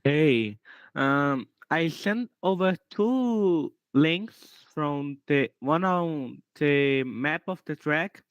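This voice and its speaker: A man from Brazil, a guest driver on a video call, speaking hesitantly with pauses. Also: a little high, expressive, clean tone, no echo, lo-fi sound. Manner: hesitant, halting.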